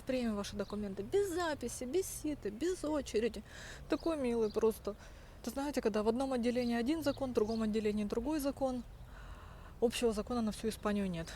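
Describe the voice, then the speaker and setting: A woman's voice: fairly high pitch, darkish tone, slight background noise. A young woman talking casually to her viewers as a vlogger, telling everyday stories and acting out the dialogue she quotes. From Russian-speaking, living in Spain. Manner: chatty, storytelling, acts out quoted dialogue. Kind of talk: casual vlog narration.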